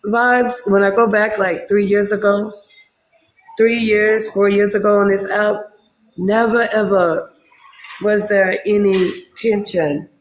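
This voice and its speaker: Brief: high-pitched man, panel guest, earnest and searching, heard in an echoey room. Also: fairly even intonation, slow. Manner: searching, earnest, with many restarts. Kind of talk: casual live-stream chat.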